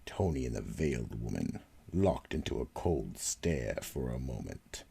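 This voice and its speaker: A man narrating a horror radio drama and hosting the show, slow and ominous. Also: extremely low pitch, very animated, vocal fry, dark tone, breathy edge. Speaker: narrator and host.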